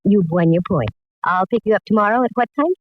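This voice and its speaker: A woman's voice: medium pitch, fast, dry recording, lightly acted. Actress doing slangy 1940s radio girl detective, wry and breezy, dry sound, bit lo-fi. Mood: breezy, playful. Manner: slangy, wry first-person storytelling. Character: wry, breezy young female private detective. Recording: dull vintage radio recording.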